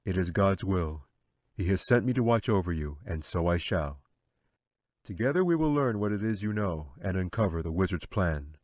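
A man voicing a calm, wise male angel in an audiobook, with a measured, solemn delivery. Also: low-pitched, dry recording, clean studio sound. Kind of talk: audiobook character reading.

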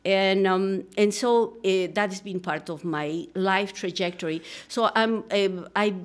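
A woman's voice: medium pitch, measured pace. A middle-aged woman from Peru with a Peruvian Spanish accent, speaking earnestly as a guest in a radio studio interview, with many fillers and restarts. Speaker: guest, social psychologist. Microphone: studio microphone, headphones.

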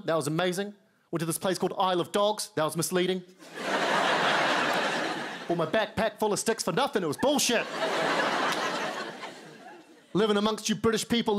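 High-voiced New Zealand comedian on stage. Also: male voice, a bit bright. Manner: wry, self-mocking, acts out quoted lines in lower voices. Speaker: stand-up comedian. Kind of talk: stand-up set. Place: large TV studio stage. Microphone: handheld mic. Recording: studio stage with audience noise. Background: studio audience laughter.

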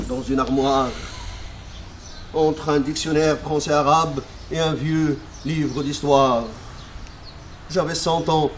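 A man reciting poetry in a solemn, measured and dramatic manner, pausing between short phrases. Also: fairly high pitch, a little hiss or hum. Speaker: poetry reader.

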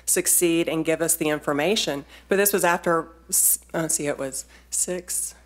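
Low-voiced woman pressing a town board. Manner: insistent, quick to interrupt. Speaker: resident speaking at a public meeting. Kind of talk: public comment at a town board meeting. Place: large council chamber. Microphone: standing podium mic from a distance.

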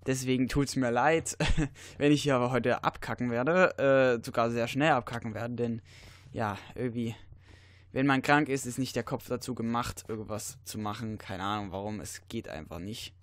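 A teenage boy with a cold, giving rambling casual commentary over a video game with many fillers and sudden excited outbursts. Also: medium pitch, normal speed, no room sound. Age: teens. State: stuffy, has a cold. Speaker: let's player.

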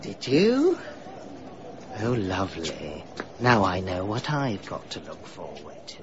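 Chatty, tactless Englishman with swooping pitch. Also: wildly swooping pitch, slow, lo-fi sound, noisy background, heavily acted. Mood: polite, flustered. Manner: polite, babbling, fussy. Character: chatty, tactless, harmless male PR executive. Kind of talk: radio drama acting.

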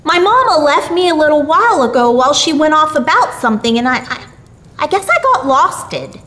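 A woman's voice: high voice, very animated, brisk, fairly bright tone, pitched above the performer's own voice. A woman voicing a brave, trusting little lost boy in a storybook, earnest and childlike. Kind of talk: storybook character voice.

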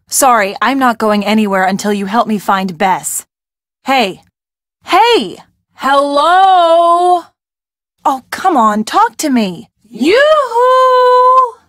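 Game sidekick: young woman, plucky and wry, fairly high voice, dry studio sound. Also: very animated, takes it slowly, fairly bright tone, dry recording, full character voice. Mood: impatient, calling. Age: young adult. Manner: casual, wry, forthright. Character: plucky, wry young female detective's friend. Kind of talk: video game character acting.

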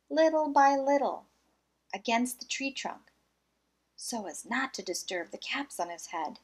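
A woman reading a children's picture book aloud, with expressive, deliberate phrasing and small asides. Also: on the high side.

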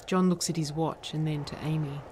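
Female narrator, low register, reading scripted stage directions for a drama. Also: fairly even intonation, a little fast, a little mellow, slight background noise.

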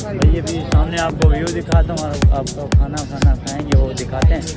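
Young man vlogging over loud music. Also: a little high, flat delivery, moderate pace. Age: 20s. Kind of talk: casual vlog chat with friends. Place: outdoors at night, street and open-air restaurant. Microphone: phone at arm's length.

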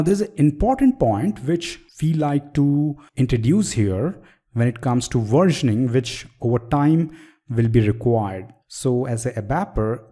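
A man teaching a screen-recorded software tutorial, explaining step by step and asking the viewer questions. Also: mid-range voice. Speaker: software trainer.

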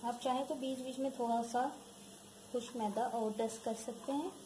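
A woman with a speech hosting a home cooking tutorial, calmly walking viewers through each step in her kitchen. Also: a little high, normal speed. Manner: calm, instructive, addresses viewers directly. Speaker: cooking channel host. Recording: noisy home recording with background ambience.

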